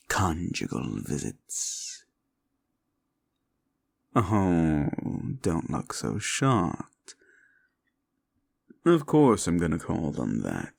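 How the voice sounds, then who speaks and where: A man voicing a scornful male demon bound by a wish contract, talking down to the listener in a slow, mocking audio roleplay. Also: sits low, vocal fry, dry recording, full character voice.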